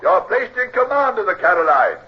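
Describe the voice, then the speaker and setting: An actor playing a stern naval captain in an old radio drama, formal, deliberate and commanding. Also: male voice, sits high, big pitch swings. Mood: stern, wary. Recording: dull, hissy old radio recording.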